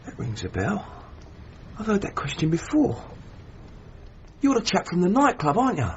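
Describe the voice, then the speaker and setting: British boxer, blunt n loyal, highish excitable voice, radio drama, bit muffled with faint noise. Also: male voice, normal speed. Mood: wary, grim. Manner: blunt, plain-spoken. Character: tough, loyal working-class British male boxer.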